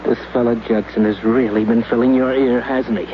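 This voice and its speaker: A curt, sneering, cynical man, as an actor plays him, with a plain mid-pitched voice. The 1950s radio drama recording is dull and lo-fi, with a faint hiss underneath. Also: level tone, brisk, natural voice. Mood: contemptuous. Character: cynical, bored man, contemptuous and gruff.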